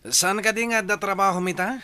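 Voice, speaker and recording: A man playing an eager, fawning henchman and drinking buddy in an Ilocano radio drama, with a sharp, tense voice and music underneath. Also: high-pitched, fairly even intonation, fairly quick, clear, resonant tone.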